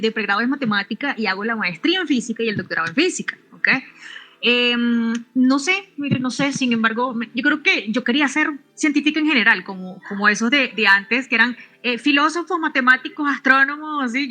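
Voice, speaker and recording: Bright-voiced Venezuelan-born woman, halting speech. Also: on the high side, soft background music, low-quality recording. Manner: halting, many restarts and tag questions. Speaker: physicist and researcher, interview guest. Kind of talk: casual interview answers.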